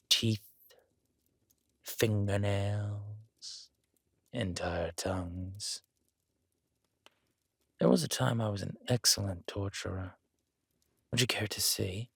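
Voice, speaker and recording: A deep, gravelly villain king acted by a man for an audio roleplay. He speaks quietly and deliberately, with a weary dry wit and understated menace. The sound is intimate, with no room echo. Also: full character voice. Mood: quietly threatening.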